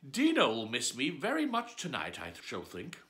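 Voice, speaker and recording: A man's voice: mid-range voice, wildly swooping pitch, normal speed, bright, forceful tone. A man voicing a curious little girl who chatters and wonders aloud in a storybook reading. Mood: chattering.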